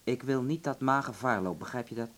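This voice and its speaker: A man's voice: mid-range voice, fairly quick, a bit bright, lightly acted. An actor in a radio drama playing a hard, unyielding man guarding his household, blunt, stern and clipped. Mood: stern, defensive. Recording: faintly clicky old radio recording.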